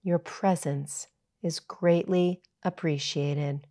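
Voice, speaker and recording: A woman yoga teacher narrating a guided meditation in a soothing, measured, unhurried way. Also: lowish voice, fairly even intonation, dark tone.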